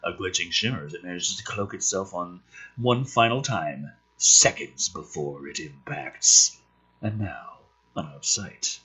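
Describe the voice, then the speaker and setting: A husky-voiced man reading a script aloud dramatically, with exasperated, sweary commentary as host. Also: sits low, unhurried, pushed and bright, rough recording. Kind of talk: animated script read-aloud with profane commentary.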